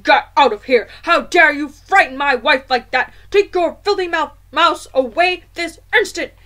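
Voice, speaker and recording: A woman voicing a blustering, pompous grown man, loud and indignant, in a storybook reading. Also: on the high side, slow pace. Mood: outraged.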